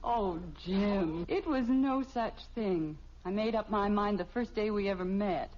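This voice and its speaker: An American actress playing a brisk, self-assured, teasing wife and mother in an old radio family comedy. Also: medium pitch, a little mellow, natural voice. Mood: sweetly teasing. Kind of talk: mid-century radio sitcom acting. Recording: muffled, noisy old radio recording.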